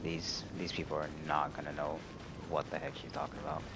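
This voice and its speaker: A man's voice: a bass voice, very animated, a little fast, very noisy. A man giving casual, amused, wry reaction commentary as he plays a story game. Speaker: gamer reacting to a story quest. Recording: commentary over clear game music.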